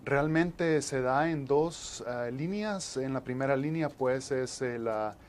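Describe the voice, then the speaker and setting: A man, explaining as an interview guest in measured, halting phrases. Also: mid-range voice, slight background noise. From El Salvador. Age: 40s. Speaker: interview guest, disaster management specialist.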